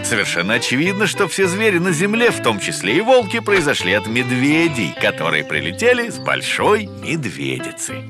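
Lively, bookish cartoon bear. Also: male voice, medium pitch, normal speed, hardly any pauses. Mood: earnest, explanatory. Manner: explanatory, mildly teasing. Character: sensible, bookish adult brown bear, male. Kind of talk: animated cartoon voice acting. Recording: music behind the voice.

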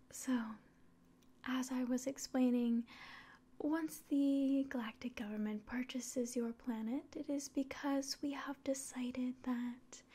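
Female, 20s, ASMR roleplay. High, mellow, soft voice drifting into whispers, measured and polite. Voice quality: soft, breathy, often whispering. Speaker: ASMR performer. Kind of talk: ASMR roleplay as an alien doctor giving an exam. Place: small quiet room indoors.

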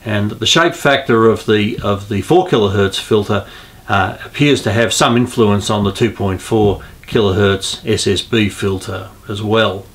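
A man, explaining a hobby project informally, in halting phrases with pauses. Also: lowish voice. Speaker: host of an amateur radio hobby video. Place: small indoor radio room at home.

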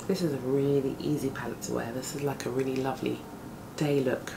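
A woman in her thirties with a deep morning voice, chatting casually as she does her makeup at home in front of a close camera. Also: fairly quick, vocal fry. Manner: chatty, relaxed, talks while applying makeup. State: morning voice, deeper than usual. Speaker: beauty vlogger. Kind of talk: casual makeup tutorial talk. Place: home flat, indoors, window open. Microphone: camera on a desk at close range. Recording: home room with outside noise. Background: plane and street noise through the open window.

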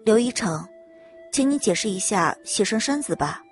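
A woman voicing a calm, serious young noblewoman forensic doctor in an audio drama, measured and formal. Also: mid-range voice, faint music behind, close to an everyday voice.

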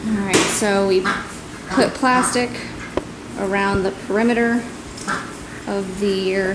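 A woman's voice: medium pitch, steady tone, slow pace. A young adult woman talking casually to camera as a farm vlogger, explaining things in a plain, informal way. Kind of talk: casual vlog talk. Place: large garage, kitchen, outdoors in a yard. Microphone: camera mic, arm's length or farther. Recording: echoey garage and rooms, background noise.

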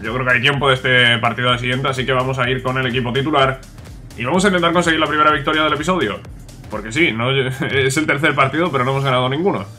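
A man talks through a football game in a bright, forward voice. His throat is sore, and he keeps up a casual, grumbling, repetitive commentary. Faint music plays behind him. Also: medium pitch, fast. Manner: chatty, grumbling, repeats himself. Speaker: gaming YouTuber.

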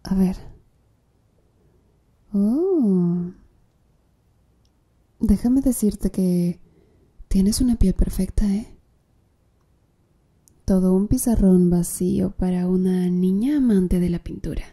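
A slow, flirty tattoo artist with a dark, mellow tone, as a woman plays her for an ASMR roleplay. She speaks softly and breathily, teasing her client with easy confidence. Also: mid-range voice. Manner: soft, breathy, teasing with little laughs.

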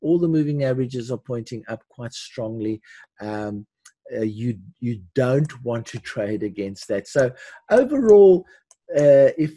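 An older man hosting a live webinar, giving unscripted commentary in a halting way with repeated words and restarts, his voice husky. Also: mid-range voice, animated, warm tone, dry recording. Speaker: webinar host teaching forex chart analysis.

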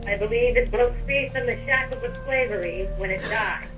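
A woman's voice: on the high side, moderate pace, distant mic, music in the background. A woman chatting casually in character during an online roleplay game. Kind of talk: casual in-character roleplay chat while gaming.

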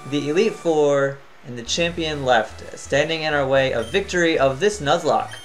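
A man's voice: fairly high pitch, normal speed. Tired man commentating his gameplay. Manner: chatty, thinks out loud, hums to himself. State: tired, voice near cracking. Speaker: gaming channel host.

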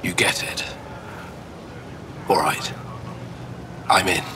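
Game character: gruff alien male, very low register, terse, no-nonsense. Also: fairly even intonation, very slow, pushed and bright, a little hiss or hum, full character voice. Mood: blunt, guarded. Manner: blunt, terse. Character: gruff, blunt alien man weighing a move. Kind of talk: video game dialogue.

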